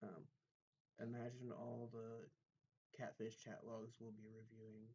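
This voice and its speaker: A man's voice: on the low side, monotone, unhurried, mellow, lo-fi sound, a little hiss or hum. A man streaming, talking casually as if to a chat audience.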